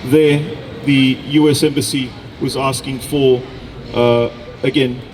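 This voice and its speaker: A middle-aged man from Chile delivering a deliberate, emphatic political speech at an outdoor rally. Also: medium pitch, fairly even intonation, a little mellow, rough recording. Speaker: speaker at a rally, researcher. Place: outdoor public gathering in a city. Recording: outdoor rally with background noise.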